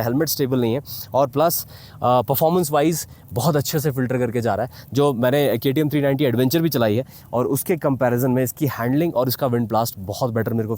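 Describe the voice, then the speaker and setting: A man's voice: medium pitch, a little mellow. An Indian man gives a casual, explanatory review to viewers, mixing English phrases into his speech, in the style of a motovlogger. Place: outdoors in a mountain valley. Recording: clearly noisy outdoor ambience.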